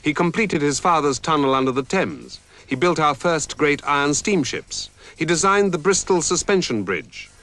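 A man giving measured, dramatic scripted documentary narration. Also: a little high, lively intonation, a bit bright. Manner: measured, dramatic storytelling delivery.

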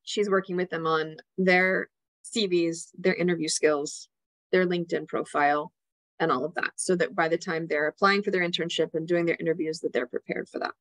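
A woman's voice: fairly low pitch, steady tone, normal speed, fairly bright tone, dry recording, poor audio. A woman giving a casual, filler-filled school presentation on a video call. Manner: casual, filler-heavy explaining. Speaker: school representative. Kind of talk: webinar presentation.

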